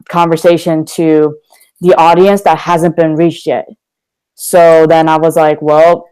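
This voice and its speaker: Young guy on a podcast, talks open and energetic, smooth clear voice. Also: a little high, rough recording. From Korea. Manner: candid, energetic, slangy. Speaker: guest, motivational speaker. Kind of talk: casual podcast interview.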